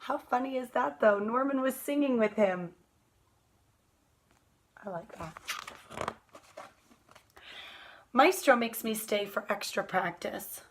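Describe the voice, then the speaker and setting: A woman reading a children's picture book aloud at storytime, expressive and drawn out, putting questions to her young listeners. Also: fairly high pitch, slow, pauses often.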